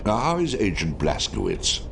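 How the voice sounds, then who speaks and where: A male actor playing an older, measured, authoritative intelligence chief in a video game, speaking in a deliberate, musing way. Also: low voice, huge pitch swings, gravelly, background noise, heavily acted. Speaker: commanding officer. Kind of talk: video game cutscene acting.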